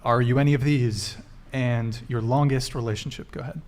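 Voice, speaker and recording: A man hosting a casual panel talk show, asking quick follow-up questions and repeating answers back. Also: mid-range voice, steady tone, darkish tone.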